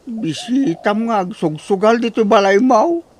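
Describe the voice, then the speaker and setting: Man acting a high-voiced, plaintive old Filipino man. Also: expressive, unhurried, a little hiss or hum. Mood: plaintive, grumbling. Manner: plaintive, grumbling. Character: downtrodden, complaining old father-in-law. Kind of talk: radio drama acting.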